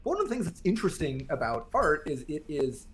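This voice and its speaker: A man's voice: a little high, very animated, fast, a bit bright, a little hiss or hum. A young man talking casually and thoughtfully as a podcast guest, carefully qualifying his points as he works through them. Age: young adult.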